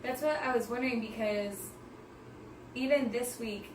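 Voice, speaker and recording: A woman with a mid-pitched voice talks in a loose, chatty way, asking questions and telling stories about herself. The mic sits at a distance in an echoey room. A clear hiss runs underneath. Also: moderate pace. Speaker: podcast co-host. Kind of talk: casual chat.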